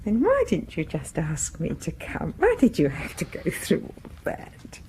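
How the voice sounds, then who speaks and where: A woman's voice: deep voice, wildly swooping pitch, unhurried, mellow, slight background noise, exaggerated voice, low-quality recording. An actress playing a shy, proper, questioning woman in a radio horror drama, speaking politely and tentatively with a British accent. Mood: questioning, reproachful.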